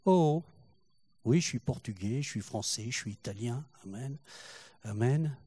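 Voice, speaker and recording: An older man preaching a sermon in French, halting and repeating words, rising in fervor as he goes. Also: medium pitch, expressive, mellow, breathy edge, no echo. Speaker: pastor.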